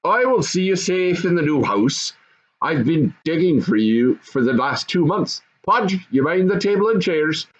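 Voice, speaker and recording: A man's voice: fairly high pitch, animated, a little slow, highs cut off, pitched above the performer's own voice. A man voicing a gloating, bossy goblin father and husband, emphatic and knowing, in a storybook reading. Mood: commanding.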